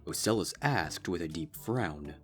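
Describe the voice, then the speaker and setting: A man's voice: low voice, lively intonation, measured pace, a bit bright. A man reading a scripted fan fiction story aloud as its narrator.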